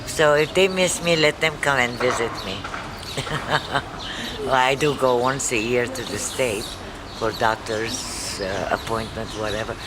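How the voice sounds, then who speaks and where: A man talks fondly and casually, now and then laughing. His pitch is in a middle range. Traffic and street sounds are clearly heard outdoors. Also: measured pace. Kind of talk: casual interview answers.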